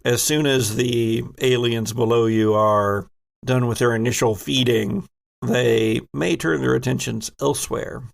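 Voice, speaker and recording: Slow-paced, gravelly male game master. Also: medium pitch, fairly even intonation. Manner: dramatic storytelling, addressing players as characters. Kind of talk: tabletop game narration.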